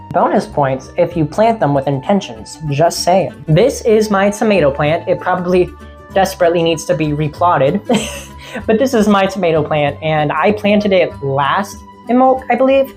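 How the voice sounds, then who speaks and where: A woman casually vlogging about seasonal witchcraft in a friendly, rambling way, her voice getting a little raspy. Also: on the low side, a little mellow. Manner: rambling, friendly, laughs often. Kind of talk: casual chatty vlog.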